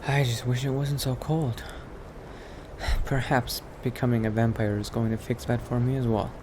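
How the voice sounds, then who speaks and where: A man's voice: medium pitch, flat delivery, normal speed, noisy background. A man roleplaying in character in a video game, hesitantly muttering to himself as he narrates what he does.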